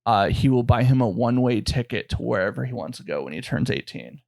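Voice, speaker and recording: A man co-hosting a comedy podcast, firing off quick teasing questions in casual chat. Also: medium pitch, lively intonation, gravelly, darkish tone, dry recording, clean studio sound. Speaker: podcast co-host.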